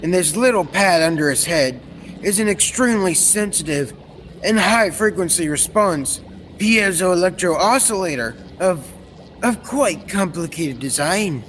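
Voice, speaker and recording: A man's voice: high voice, unhurried. A young man playing an earnest, proud scientist inventor in an old-time radio serial, explaining things formally. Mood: earnest, explanatory. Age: teenager or young adult. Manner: formal, explanatory, technical delivery. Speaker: student actor. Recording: reverberant hall, steady background noise.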